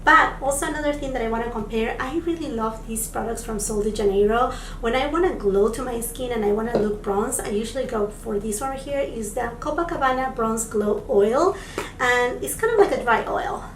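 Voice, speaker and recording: A woman with a slightly raspy voice, chatting to viewers as friends in a product review vlog. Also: a little high, a little hiss or hum. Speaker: beauty vlogger. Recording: echoey room, mic at a distance.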